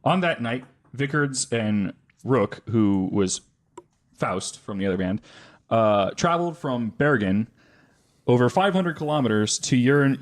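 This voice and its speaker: A man hosting a podcast, telling a story casually from notes and often correcting himself as he goes. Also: medium pitch. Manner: halting, self-correcting as he reads names. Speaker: podcast host.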